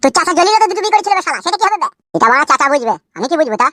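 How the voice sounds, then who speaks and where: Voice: extremely high pitch, big pitch swings, racing, pushed and bright, full character voice. A performer voicing a cheeky, foul-mouthed village cartoon character with a rural West Bengal accent, arguing in brash slang. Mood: quarrelsome. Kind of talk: comic cartoon dialogue.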